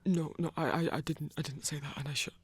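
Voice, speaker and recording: A performer playing a flustered, protective hunter who stammers haltingly in a breathy, intimate ASMR roleplay. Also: mid-range voice, fairly even intonation, no room sound, close to an everyday voice. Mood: nervous reassurance. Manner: halting, stammering, awkward, sighing.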